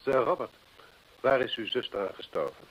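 Man acting a formal sharp-minded detective in an old radio play, lively pitch, hissy recording with long reverb. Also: normal speed, natural voice. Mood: stern, probing. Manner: formal, incisive. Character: confident, probing male detective, brisk and authoritative. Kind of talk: old-time Dutch radio drama acting.

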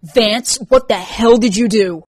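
A woman's voice: medium pitch, moderate pace, no room sound, natural voice. Woman acting a blunt, glum young goth woman. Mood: alarmed, stern.

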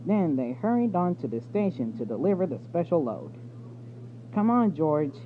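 High-voiced man haltingly reading, tinny sound. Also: big pitch swings. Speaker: storybook reader. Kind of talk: reading a children's storybook aloud. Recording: tinny phone-like audio with background noise.

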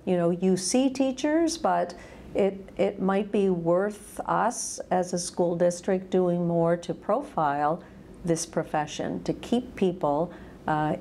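A woman in her sixties, a school administrator, answering interview questions in a measured, thoughtful way with lapel mic. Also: on the low side, warm tone. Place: school office indoors.

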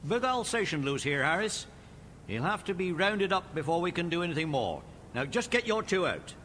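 Brisk police dog handler, animated. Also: male voice, high voice, a little hiss or hum. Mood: brisk, businesslike.

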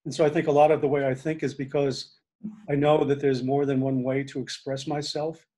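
An older man whose first language is Hungarian, chatting on a video call in a reflective, halting way with many fillers. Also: mid-range voice, little pitch movement, mellow. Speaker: discussion group member. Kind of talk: casual video call chat. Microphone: video call laptop mic.

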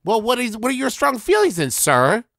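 A man's voice: very high voice, wildly swooping pitch, moderate pace, vocal fry, fairly bright tone, no echo, clean studio sound. A man co-hosting a podcast in casual, joking banter. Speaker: podcast host.

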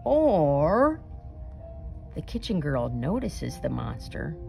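A woman reading a children's picture book aloud expressively, with deliberate, dramatic emphasis and suspenseful pauses. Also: deep voice, very animated, vocal fry, dark tone, faint music behind.

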